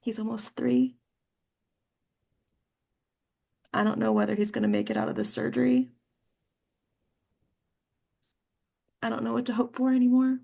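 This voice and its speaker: A woman acting a weary, gravel-edged devoted mother. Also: on the high side, level tone, dark tone. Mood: weary, drifting. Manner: halting, conversational, searching for words. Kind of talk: informal script table read.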